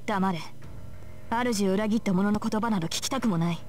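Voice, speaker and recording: A woman's voice: medium pitch, very fast, a little mellow, soft background music, close to an everyday voice. An actress playing a stern young female knight in a visual novel, speaking formally and with composure. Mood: stern, indignant. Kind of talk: Japanese visual novel voice acting.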